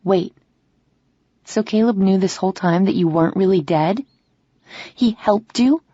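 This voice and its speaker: A woman voicing a grieving, furious young woman who confronts her lover in heated, shrieking outbursts, with a husky, gravelly voice. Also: medium pitch, a little slow, warm tone, no echo, dull audio, natural voice. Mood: stunned.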